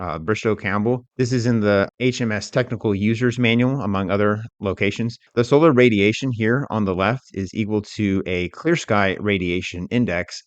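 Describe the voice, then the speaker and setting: A man giving an explanatory software tutorial as an instructor, talking through it step by step in a measured, unscripted way. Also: low-pitched, no echo, highs cut off.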